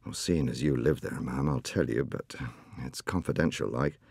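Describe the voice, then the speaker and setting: A man's voice: extremely low pitch, moderate pace, gravelly, darkish tone, husky, no room sound, studio quality, pitched below the performer's own voice, heavily acted. A man voicing a polite, stolid male country policeman who speaks in a broad rural dialect. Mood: confidential.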